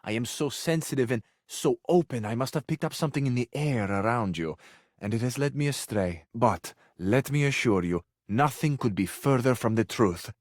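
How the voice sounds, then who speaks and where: An actor playing a flowery, sensual, effusive Italian suitor who flirts overzealously in a video game, with an Italian accent. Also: male voice, medium pitch, lively intonation, moderate pace, no echo, studio quality, close to an everyday voice. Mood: amorous, flirtatious.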